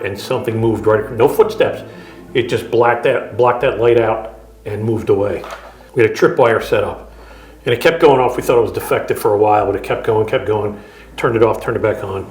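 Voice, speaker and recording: A man's voice: fairly low pitch, darkish tone, slight background noise. A man, a paranormal investigator, earnestly recounting strange experiences in an interview. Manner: earnest, matter-of-fact storytelling. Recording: echoey room.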